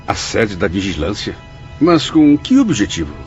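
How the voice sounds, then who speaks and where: An actor playing a weary, troubled spirit apprentice in a radio drama, speaking formally and anxiously. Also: male voice, on the low side, very animated, normal speed, a little mellow, highs cut off, soft background music. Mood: puzzled.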